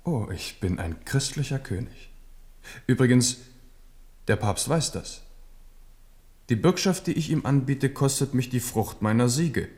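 Guy acting proud shrewd king in a radio play, mid-range voice, confident, regal, quick to parry. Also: medium pitch, expressive, darkish tone, lightly acted. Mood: courteous, proud. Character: proud, shrewd, self-assured French king. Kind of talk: 1970s German radio drama acting.

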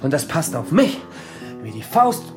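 Grandiose performance artist, pompous, teasing, very lively intonation, music behind, radio play. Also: male voice, fairly high pitch, unhurried. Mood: self-important. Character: male self-important provocative performance artist, grandiose. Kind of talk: radio drama dialogue. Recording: music under the dialogue.

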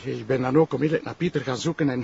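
A man's voice: medium pitch, very fast, vocal fry, darkish tone, close to an everyday voice. A man with a Flemish accent playing a loyal, caring, principled police brigadier in a crime drama, in an earnest way. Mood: shaken. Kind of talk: naturalistic TV crime drama acting. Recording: dull lo-fi drama audio, faint noise.

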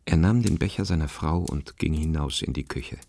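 A man's voice: extremely low pitch, moderate pace, gravelly, dark tone, no echo, exaggerated voice. A man narrating a literary radio play as a detached, observant storyteller, with guitar music behind him. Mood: observant, measured. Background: plucked guitar music.

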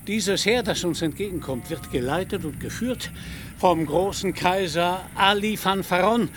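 A man's voice: high voice, unhurried, slight background noise. A man in a German radio play, playing a deluded, proud knight-errant with grandiloquent, archaic, declamatory speech. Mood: excited, grandiose.

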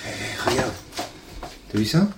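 A man's voice: slow pace, noisy background, lightly acted. A man playing a curt, persistent lead investigator in a naturalistic audio drama, matter-of-fact and serious. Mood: intent, explanatory. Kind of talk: naturalistic French audio fiction acting.

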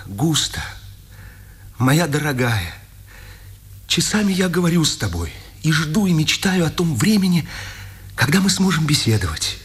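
A gentle prisoner and writer in a vintage Soviet radio drama. His fairly high voice moves with lively, lyrical intonation. He sounds intimate, earnest and devoted. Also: measured pace, long pauses. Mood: tender longing. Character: gentle, loving male prisoner writer missing his wife.

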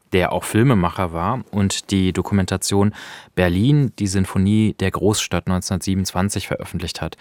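A man's voice: low voice, a little mellow, no echo, studio quality. A man co-hosting a radio talk, explaining things conversationally and often rephrasing as he goes. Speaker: co-host. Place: at home on a sofa, dry sound.

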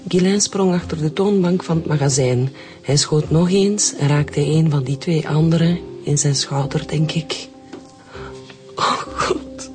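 A woman's voice: sits low, measured pace, darkish tone. A woman playing a shaken, grieving new widow in a radio drama, with a Flemish accent. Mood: distressed. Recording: music behind the voice.

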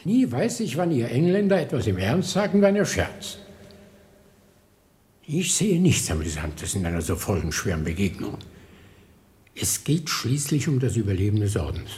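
An actor playing a very old, frail and devout monk in a radio drama, speaking in a fervent, halting, theatrical way. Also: male voice, medium pitch, sing-song, a little mellow, close to an everyday voice. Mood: reproachful, urgent. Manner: theatrical, halting, breaks into whispers and lament. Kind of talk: German radio drama acting.

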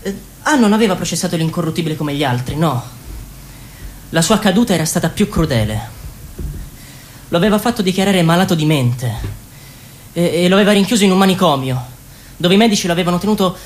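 Woman playing solemn party member, widely swooping pitch. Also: animated, a little hiss or hum, lightly acted. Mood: solemn, halting. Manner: halting, dramatic storytelling.

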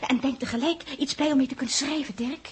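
Actress as a high-pitched, chattering, doting young-sounding woman. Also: steady tone, quick pace, close to an everyday voice. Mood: eager, coaxing. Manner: stammering, coaxing, scatterbrained. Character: chatty, naive, doting female companion on a space test. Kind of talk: 1960s Dutch radio drama acting.

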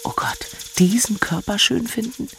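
A woman's voice: fairly high pitch, normal speed, gravelly, fairly bright tone, a little hiss or hum. An actress playing an agitated, self-reproaching woman in her forties in a radio drama inner monologue. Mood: agitated, despairing. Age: 40s. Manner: brooding, exasperated.

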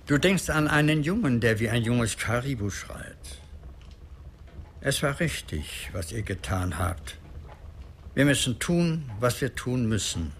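An actor playing an old, devout grandfather and medicine man in a German radio drama, solemn, deliberate and plain-spoken. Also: mid-range voice, animated, slight background noise. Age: older.

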